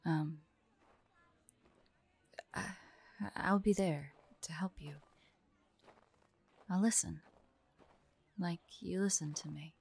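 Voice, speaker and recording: A woman playing a forlorn, vulnerable young huntress in an intimate audio roleplay, speaking softly and haltingly, often drifting into whispers. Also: lowish voice, vocal fry, breathy edge, heavily acted. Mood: gentle, earnest. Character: forlorn young woman hunter, tearful, vulnerable, guarded, affectionate.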